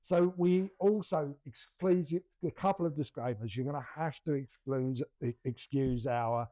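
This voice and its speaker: Grey-haired guy co-hosting, mellow low-key voice, dry close sound, lots of restarts. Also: unhurried, dry recording. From England. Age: middle-aged. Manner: rambling, many restarts, self-deprecating laughs. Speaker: podcast co-host. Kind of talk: casual chat.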